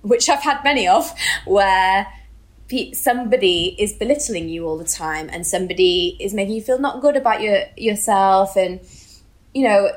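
A woman in her thirties with a mid-pitched voice, chatting as a podcast co-host. She speaks candidly and casually, leaning on her words for emphasis as she discusses books. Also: moderate pace. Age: 30s.

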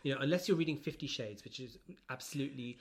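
A British man co-hosting a podcast, chatting casually and humorously about books in an animated, chatty way. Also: medium pitch, fast talker. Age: adult, over 25. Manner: animated, chatty, giggly storytelling. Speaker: podcast co-host. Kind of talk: casual humorous book chat.

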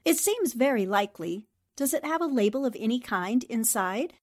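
Woman doing a savvy 40s radio girl reporter, swoopy high voice, teasing and a bit condescending. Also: animated, normal speed. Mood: brisk, knowing. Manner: theatrical, condescending endearments. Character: shrewd, worldly, teasing newspaperwoman columnist. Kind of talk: 1940s radio acting.

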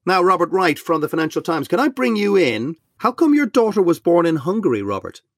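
A man's voice: sits high, sing-song, brisk, dry recording, studio quality. A man hosting an interview, putting long, inquisitive questions to his guests. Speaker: host.

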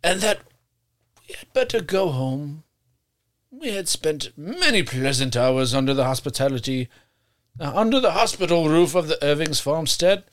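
A man's voice: on the high side, moderate pace. A man hosting a podcast, reading a strange story from his notes with frequent laughs and self-correcting asides. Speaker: podcast host.

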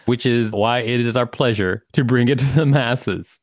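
A man's voice: mid-range voice, moderate pace, dry recording. A man co-hosting a podcast, reading an article aloud and adding casual asides. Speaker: podcast co-host.

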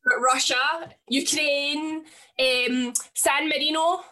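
A young woman hosting a casual podcast chat, giggly and quick to exclaim. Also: high-pitched, fairly even intonation, bright, forceful tone. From Scotland. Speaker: podcast host.

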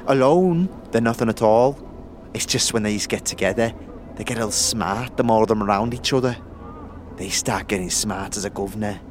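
A man's voice: fairly low pitch, moderate pace, slight background noise, close to an everyday voice. A man playing a scrawny, nervous young slum dweller in an audio drama, stammering and wary, talking in street slang. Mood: warning, guarded.

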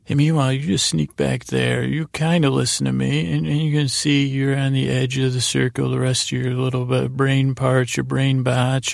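A man with a gravelly, creaky voice hosting a podcast in a rambling bedtime monologue, halting and repeating himself as he meanders along. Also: medium pitch, no echo, clean studio sound. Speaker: podcast host.

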